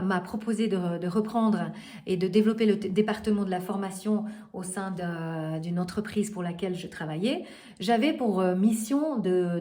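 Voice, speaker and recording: A middle-aged woman coaching her listeners in a motivational talk. She speaks earnestly but haltingly, pausing often on fillers and restarting her sentences, at an ordinary middle pitch. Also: dark tone. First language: not French. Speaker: life coach.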